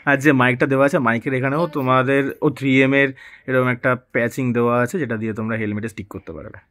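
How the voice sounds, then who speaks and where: A man's voice: medium pitch, steady tone, fast, a bit bright. A man, vlogging a casual step-by-step installation tutorial and mixing English words into his explanations.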